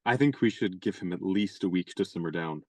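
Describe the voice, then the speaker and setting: A chivalrous young gentleman adventurer in a radio play, acted by a man, genial and fond of his own turns of phrase. He talks in courtly, elaborate sentences with a touch of mock pomp. The voice is dry and close, with little room sound. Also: sits low, fairly quick, no echo.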